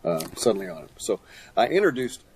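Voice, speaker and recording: Older male, fairly low pitch, guest author, conversational interview answers. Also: sing-song, fairly quick, darkish tone, slight background noise, low-quality recording. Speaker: guest author, retired pastor and teacher.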